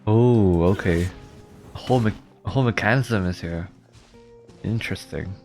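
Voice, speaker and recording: A man's voice: sits low, moderate pace, a little mellow. A man giving casual let's play commentary as a streamer, often reading game prompts aloud. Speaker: streamer playing a video game. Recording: game music behind the voice. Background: video game music.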